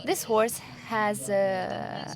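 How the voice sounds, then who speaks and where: A woman interpreting a speaker into English in a measured, careful way, pausing to find words. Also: mid-range voice, steady tone. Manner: measured, careful delivery with pauses. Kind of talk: simultaneous interpreting. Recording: audience crowd noise behind.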